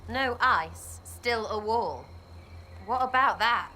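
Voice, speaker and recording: A woman's voice: on the high side, expressive, takes it slowly, pushed and bright, a little hiss or hum, heavily acted. An actress playing a blunt, cheeky young female adventurer trading informal, teasing banter in a video game. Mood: cheeky, needling.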